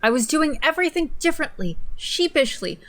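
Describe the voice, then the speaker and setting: A young woman with a fairly high voice co-hosts a livestream from home. She reads aloud theatrically and mockingly, slipping into casual, playful banter. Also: sing-song, a little fast, a bit bright. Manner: giggly, playful, theatrical when reading aloud. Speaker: livestream co-host. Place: indoors at a desk in a home streaming setup.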